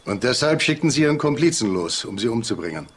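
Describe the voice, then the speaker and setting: A man's voice: mid-range voice, very animated, quick pace. An actor playing an eager, chatty male police investigator in a naturalistic crime audio drama. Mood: accusing. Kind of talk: naturalistic German radio drama acting. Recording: clear background noise and ambience.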